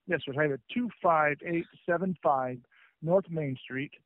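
A man's voice: a little high, moderate pace, dry recording. A man working as a phone agent, speaking politely and formally in scripted customer service style. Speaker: plumbing company phone agent. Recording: tinny lo-fi phone call.

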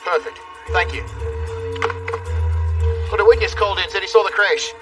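An actor as a steady control tower officer. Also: male voice, high-pitched, normal speed, lightly acted. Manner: steady, reassuring instructions. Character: calm male control tower officer on the phone. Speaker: reenactment actor. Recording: distant mic with music.

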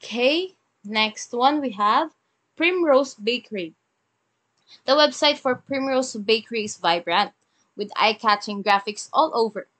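A woman's voice: fairly high pitch, animated, a little slow, fairly bright tone. A woman narrating a screen-share review of bakery websites, mixing scripted lines with chatty asides and fillers. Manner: chatty, reads script then ad-libs with fillers. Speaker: web design reviewer.

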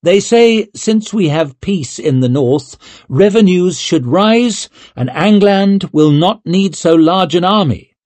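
A man's voice: high-pitched, expressive, a little mellow, no echo, pitched above the performer's own voice. A man voicing a stately, composed middle-aged noblewoman speaking formally in an audiobook. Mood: grave. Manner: measured, formal.

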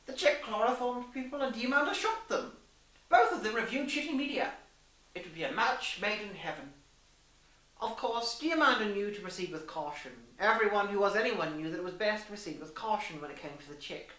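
A woman's voice: medium pitch, lively intonation, brisk, fairly bright tone. A young woman reading a story aloud in a theatrical, arch, mock-genteel manner. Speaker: story reader. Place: small room indoors. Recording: echoey room, distant mic.

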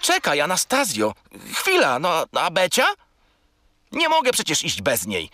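A man's voice: fairly high pitch, measured pace, no room sound, heavily acted. A man voicing a small, furry, childlike male creature, curious and naive, in a children's audio drama. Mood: earnest. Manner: excitable, opinionated, childlike.

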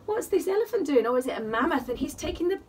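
Casting: woman, high voice, animated singsong storyteller for small children, recorded a little way from the mic at home. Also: quick pace, recorded from a distance, a little hiss or hum. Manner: animated, singsong, asks the listener questions. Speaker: children's bedtime story reader. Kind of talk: expressive picture-book storytelling for young children. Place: small attic room at home, indoors. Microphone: camera a short distance away.

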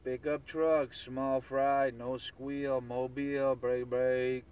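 A man's voice: medium pitch, level tone, slow pace, a little mellow, smooth. A man chatting on CB radio, chanting repeated phrases and call signs. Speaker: CB radio operator. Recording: noisy CB radio, partly far from mic.

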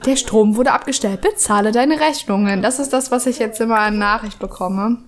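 A woman's voice: on the high side, moderate pace. A woman with a cold chatting casually through her gameplay, rambling with many fillers at a desk microphone. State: has a cold. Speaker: let's play host.

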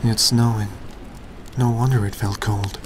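Man acting a low-voiced serious young devil hunter. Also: steady tone, a little slow, noisy background, lightly acted. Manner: serious, scolding, earnest. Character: stern, responsible young male devil hunter, exasperated leader. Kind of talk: fan comic dub, dramatic acting.

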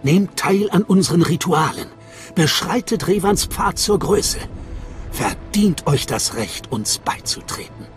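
Solemn, reverent cult guide, swooping intonation. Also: male voice, a little high, animated, faint music behind. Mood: mystical, instructive. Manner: measured, reverent, mystical delivery. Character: solemn male cult guide welcoming initiates. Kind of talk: dramatic video game voice acting in German.

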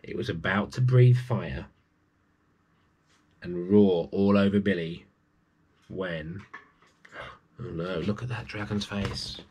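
A man's voice: low voice, slow pace, long pauses, warm tone, a little hiss or hum, rough recording. A man in his forties reads a children's story aloud, adding chatty asides to his young listeners. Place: small room at home with bookshelves.

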